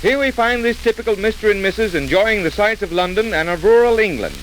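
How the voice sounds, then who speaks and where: A man's voice: high voice, animated, a little fast, background noise. A man giving scripted narration in the style of 1930s radio. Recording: vintage radio recording with music.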